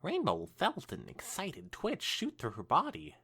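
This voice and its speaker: A man's voice: a little high, huge pitch swings, unhurried, gravelly, bright, forceful tone, husky. A man co-hosting a podcast, narrating a story aloud and breaking into casual banter. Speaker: podcast co-host.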